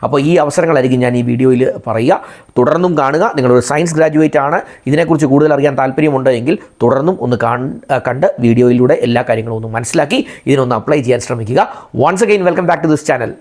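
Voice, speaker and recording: Indian guy with malayalam accent doing an explainer video, medium pitch, repeats the important bits. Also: a little fast, a little mellow. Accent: Malayalam-accented English. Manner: explanatory, repeats and rephrases key points. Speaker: video channel host. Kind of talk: informational job-vacancy explainer to viewers.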